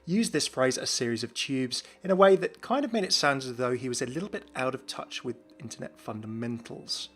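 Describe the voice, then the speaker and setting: A man's voice: medium pitch, expressive, fairly quick, hardly any pauses. A man giving scripted, explanatory commentary as the host of a gaming video. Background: background music.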